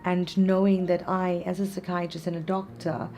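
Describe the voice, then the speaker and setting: A woman born in South Africa, a psychiatrist answering interview questions in earnest, measured explanations. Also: on the low side, flat delivery, mellow, slight background noise. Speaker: psychiatrist guest.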